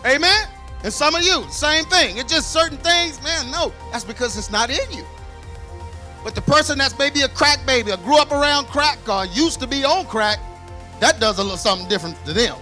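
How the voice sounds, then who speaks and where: Bright, high-pitched man preaching. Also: expressive, brisk, soft background music. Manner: preaching with call-and-response questions. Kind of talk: sermon.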